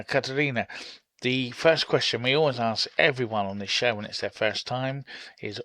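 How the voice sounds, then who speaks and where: A male podcast host giving an upbeat intro. His voice is bright and forceful, and the sound is dry and close to the mic. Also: mid-range voice, no echo. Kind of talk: scripted show intro.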